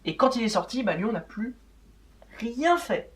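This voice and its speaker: A man's voice: extremely high pitch, very animated, a little slow. A young man, chatting informally as the host of a football show. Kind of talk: casual unedited football chat.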